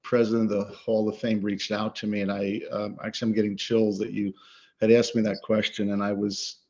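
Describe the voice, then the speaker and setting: A man in his sixties with a lowish voice answers interview questions in a halting, modest way, filling pauses with hesitations. His voice comes over a video call with dull, muffled audio. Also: flat delivery, a little mellow. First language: Ukrainian. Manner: halting, modest, many fillers. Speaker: soccer coach. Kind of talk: interview guest.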